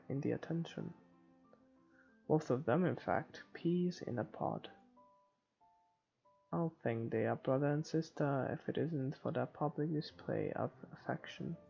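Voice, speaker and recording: Gravelly-voiced man reading a game story aloud. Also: on the high side, mellow. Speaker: video game let's player. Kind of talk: reading visual novel narration aloud. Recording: slightly muffled, music underneath.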